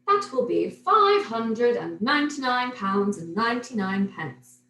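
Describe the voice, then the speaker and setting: A woman's voice: a little high, sing-song, unhurried, bright, forceful tone, full character voice. A woman voicing a courteous, kindly male hat shop salesman in a storybook, formal and obliging. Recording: roomy echo, noticeable background noise.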